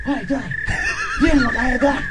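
High-pitched, boisterous Filipino drinking buddy. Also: male voice, fairly even intonation, takes it slowly, over a noisy crowd. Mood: tipsy, exasperated. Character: tipsy, teasing male drinking buddy, loud and envious. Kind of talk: Filipino comedic radio drama acting. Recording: very noisy radio drama recording.

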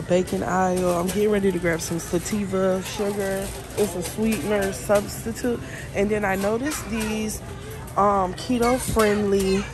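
A woman filming a casual shopping vlog in a supermarket, chatting and thinking aloud as she reads labels. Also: mid-range voice. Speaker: vlogger. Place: supermarket aisles. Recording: noisy store background.

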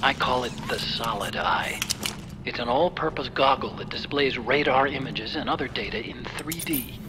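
An actor playing a nerdy engineer giving a technical mission briefing over a radio link in a video game. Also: male voice, medium pitch, normal speed. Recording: game audio with steady noise.

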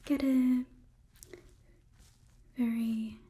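A young woman playing a polite, clinical robot overlord in a gentle, methodical ASMR whisper, close to the microphone. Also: on the high side, little pitch movement, drawn-out words. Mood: calm, clinical. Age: young adult. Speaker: ASMR performer.

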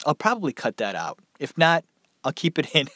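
A man chats through a long story for his podcast, rambling and loose, with plenty of filler words. His voice sits a little high and sounds dry and worn from talking. The sound is close and dead, with no room echo. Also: huge pitch swings, a little fast, sharp tone. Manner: rambling, conversational, many fillers. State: dry mouth, tiring voice. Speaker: podcast host. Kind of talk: casual solo storytelling. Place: small room at home.

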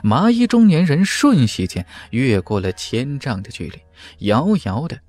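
Male audiobook narrator, medium pitch, highly animated, dramatic fantasy delivery with music behind. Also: measured pace. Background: background music.

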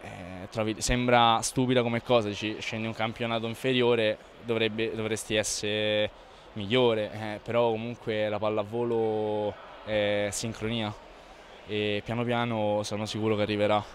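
A young athlete talking in a low, level voice that barely rises or falls. He answers loosely and repeats himself as he goes. He speaks into a handheld mic held close, with faint noise behind him. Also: moderate pace. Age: young adult. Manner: relaxed, repetitive, self-correcting answers. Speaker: volleyball player. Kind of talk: post-match interview. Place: indoor sports hall in front of a sponsor wall. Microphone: reporter's handheld mic held close.

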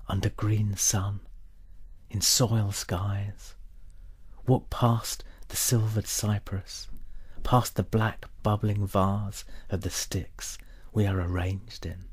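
A man reads poetry in a deep, very husky and breathy voice. He goes extremely slowly, setting short phrases apart with solemn pauses and often sinking to a near-whisper. The sound is close and dry. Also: fairly even intonation, no echo. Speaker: poet reading own work. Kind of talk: poetry reading, dramatic monologue.

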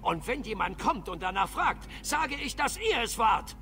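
High-pitched, flustered dwarf shopkeeper. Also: male voice, big pitch swings, moderate pace, pushed and bright, full character voice. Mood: accusing, annoyed. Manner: agitated, stammering, whiny complaining. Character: flustered, indignant dwarf shopkeeper, middle-aged man. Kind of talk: video game voice acting.

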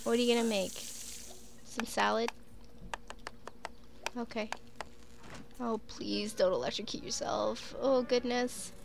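A sniffly young woman gives slow, relaxed commentary over her gameplay, often talking straight to her little characters. Her voice is a touch high with a gravelly edge, and faint hum sits behind her. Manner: chatty, talks to her sims, frequent "like" and "um". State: sniffly with a cold. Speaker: gaming YouTuber. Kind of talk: casual let's play commentary.

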